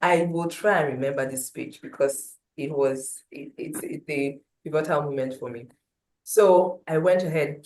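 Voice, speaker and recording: A woman tells her story in a low, loud voice, her pitch and volume swinging as she talks. The sound carries a roomy echo. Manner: animated storytelling with big swings in pitch and loudness. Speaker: motivational speaker. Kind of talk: storytelling talk at a breakfast meeting.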